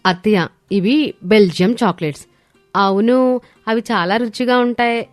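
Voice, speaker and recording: A woman's voice: medium pitch, moderate pace, no room sound, lightly acted. Woman playing haughty, whiny young daughter-in-law. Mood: showing off. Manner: boastful, dismissive, complaining. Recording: studio voice over background music.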